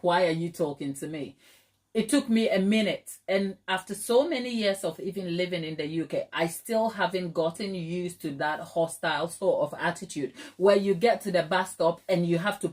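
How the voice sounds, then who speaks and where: A middle-aged woman from Ghana hosting a live stream, chatting casually with viewers, laughing often and using plenty of fillers. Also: on the low side. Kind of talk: casual chat reading viewer comments.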